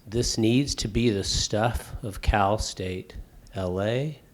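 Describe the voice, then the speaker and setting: Middle-aged male faculty member; booming, heartfelt floor remarks, low-pitched and slow. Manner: loud, emphatic and heartfelt.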